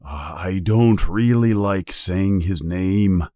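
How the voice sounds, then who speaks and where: A man voicing a big gruff but kind-hearted giant in a storybook reading, growling low and slow. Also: warm tone, dry recording, studio quality. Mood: gruff, troubled.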